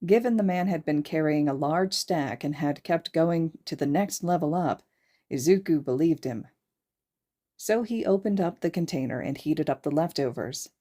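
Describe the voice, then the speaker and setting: A woman's voice: deep voice, expressive, normal speed, dark tone. A woman reading a story aloud as a podfic narrator, in scripted narration. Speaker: podfic reader and host.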